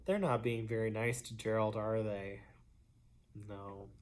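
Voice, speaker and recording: A woman reading a children's story aloud expressively, pausing between phrases and adding chatty asides. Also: a bass voice, fairly even intonation, a little mellow. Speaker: storybook reader.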